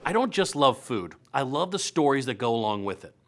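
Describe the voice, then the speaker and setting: A man's voice: mid-range voice, sing-song, fairly quick. A man hosting a web show in a scripted, playful comedic style, leaning on words for emphasis. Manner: playful, punchy delivery with emphatic stretched words. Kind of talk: upbeat scripted comedic news and events presenting.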